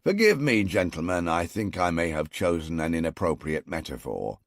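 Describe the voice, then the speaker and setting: A man voicing a coarse, burly male army general in a storybook, talking in a booming, jovial way. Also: very animated, normal speed, vocal fry, no room sound, clean studio sound, exaggerated voice. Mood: mock contrite.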